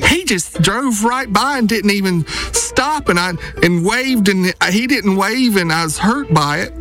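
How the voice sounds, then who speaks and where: A man's voice: high-pitched, expressive, a little slow, sharp tone, full character voice. An actor playing a nosy, gossipy man who teases and mimics in a comic radio ad, with music behind him. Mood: teasing, gossipy. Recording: guitar music bed behind.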